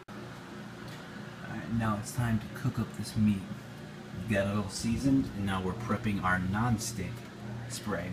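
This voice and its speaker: Casting: male amateur cooking host, lowish voice, informal and joking, very noisy handheld camera sound. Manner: informal, jokey. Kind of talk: casual cooking vlog narration. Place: home kitchen, indoors. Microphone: handheld phone or camera.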